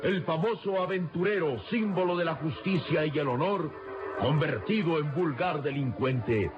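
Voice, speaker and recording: A man's voice: a little high, moderate pace. A man narrating an old adventure radio serial in a solemn, emphatic, suspenseful style. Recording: dull lo-fi radio serial, loud music.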